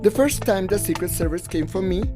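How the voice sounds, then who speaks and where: A man's voice: high voice, expressive, fairly quick. A man reading a first-person storytelling voice-over as an English translation. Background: faint music.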